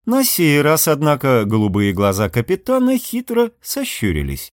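A man's voice: a little high, huge pitch swings, moderate pace, no room sound, studio quality. A man reading literary fiction aloud as an audiobook narrator, expressively.

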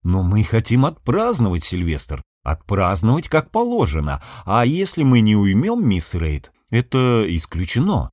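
A portly, aging sea captain as a male narrator plays him, jovial and scheming. He speaks with mock-formal emphasis and big swings of pitch, in a dry storybook recording. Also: huge pitch swings, measured pace, hardly any pauses, darkish tone, dry recording, heavily acted. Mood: solemn, grumbling.

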